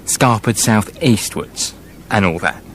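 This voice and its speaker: An actor playing a fussy, defensive male writer who haltingly narrates a fairy tale in a comic radio play. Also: on the low side, animated, fairly bright tone, a little hiss or hum. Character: flustered, defensive male storyteller, making it up as he goes.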